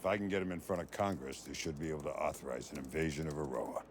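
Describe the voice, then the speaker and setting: An actor playing a gruff, terse middle-aged special agent with a deep, gravelly voice in a video game cutscene. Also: male voice, fast talker. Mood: terse, guarded. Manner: terse, commanding. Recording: loud hiss and rain noise.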